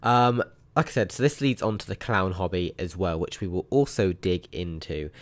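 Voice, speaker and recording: A young man with a hoarse, croaky voice from a sore throat, chatting upbeat through a mobile game walkthrough with plenty of ums. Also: low-pitched, normal speed. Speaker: mobile game channel host. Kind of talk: casual gameplay walkthrough commentary.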